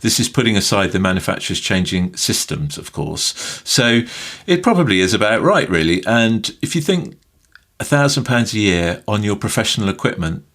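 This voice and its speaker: Deep-voiced man, jokey podcast co-host. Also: sing-song, normal speed, fairly bright tone. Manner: jokey, rambling, chuckles while talking. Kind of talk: casual chat.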